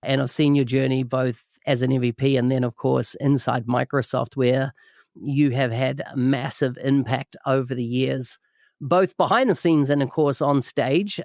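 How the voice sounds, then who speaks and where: A man's voice: mid-range voice, a little mellow, no room sound, clean studio sound. A man hosting a podcast, enthusiastic and full of praise. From New Zealand. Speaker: podcast host. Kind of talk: podcast interview.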